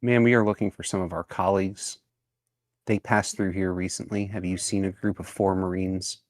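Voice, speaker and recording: A man's voice: low voice, fairly even intonation, normal speed, dry recording, clean studio sound. A man playing in a tabletop game, speaking formally and officially like a military commander. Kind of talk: in-character roleplay.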